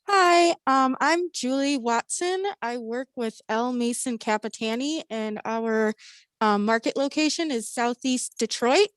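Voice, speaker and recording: A woman's voice: a little high, unhurried, dry recording. A woman introducing herself as a marketing director on a video call, listing her duties.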